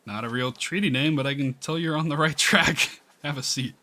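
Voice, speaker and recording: A man's voice: fairly high pitch, moderate pace, bright, forceful tone. A man reading the game dialogue of an encouraging, mildly formal male history teacher. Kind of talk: reading visual novel dialogue aloud. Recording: music playing behind the voice.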